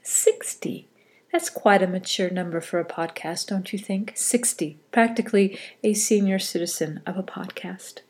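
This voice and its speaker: A woman's voice: mid-range voice, normal speed, slightly rough. A middle-aged woman with a stuffy nose from a cold, hosting a solo podcast in a casual, rambling way and often correcting herself. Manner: chatty and rambling, with self-corrections. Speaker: podcast host.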